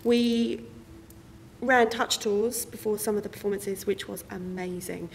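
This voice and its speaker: A woman's voice: mid-range voice, fairly even intonation, measured pace, vocal fry. A woman giving an explanatory, articulate interview as a member of a theatre company. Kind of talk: interview about a production. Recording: reverberant room, noisy with clicks.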